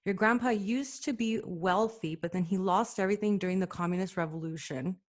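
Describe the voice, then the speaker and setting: A woman's voice: mid-range voice, a little fast. Upbeat woman hosting a podcast. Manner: upbeat, enthusiastic introductions. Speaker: podcast host. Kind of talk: casual interview.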